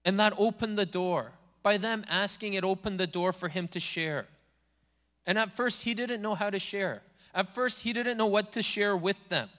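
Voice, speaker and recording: High-voiced man preaching in a reverberant hall. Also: normal speed, fairly bright tone. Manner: earnest, repetitive preaching cadence. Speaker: pastor. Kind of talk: sermon. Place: church sanctuary.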